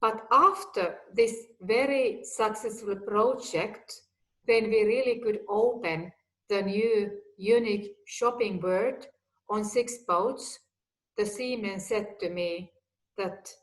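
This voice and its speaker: A woman in her fifties talks in measured, unhurried English, each phrase placed with care. Her voice sits at an ordinary middle pitch for a woman, and she keeps her sentences simple and direct, as someone who learned the language later. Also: rough recording. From Finland. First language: Finnish or Swedish. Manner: slow, deliberate, simple phrasing. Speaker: guest executive. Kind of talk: personal talk.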